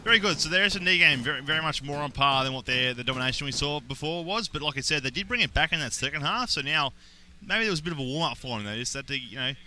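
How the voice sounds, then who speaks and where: A young adult man with an Australian accent doing play-by-play esports commentary, getting excited on big plays. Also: a little high, fast talker, a little hiss or hum. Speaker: esports caster.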